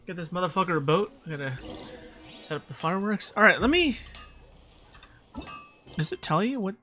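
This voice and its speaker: A woman with a fairly low, bright and lively voice is livestreaming a game. She chats casually, swears and mutters self-mockingly, with faint game music behind her. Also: normal speed. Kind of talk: casual, sweary livestream chat while playing.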